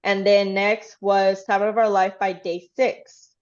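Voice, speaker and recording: A woman's voice: medium pitch, level tone, slow pace, a little mellow, highs cut off. A woman from Texas casually recapping a livestream in a chatty, rambling way with lots of fillers. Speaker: fan channel host.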